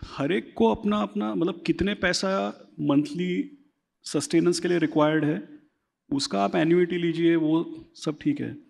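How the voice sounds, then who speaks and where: Brief: male finance expert, gravelly, higher-pitched, explanatory, reverberant room. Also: darkish tone. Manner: explanatory, seeks agreement with repeated tag questions. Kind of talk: conversational interview, Hindi-English mix.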